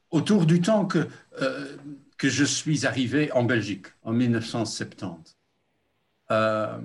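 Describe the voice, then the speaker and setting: An older man preaching a sermon as a pastor, earnest and reflective, with frequent hesitations. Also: medium pitch. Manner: slow, deliberate, with frequent hesitations.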